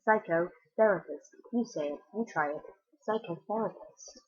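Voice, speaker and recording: A prim, middle-aged male therapist, as a young woman reads him out spells out words slowly, like a schoolteacher correcting a child. The voice is low. The webcam sound is dull. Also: breathy edge. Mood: condescending.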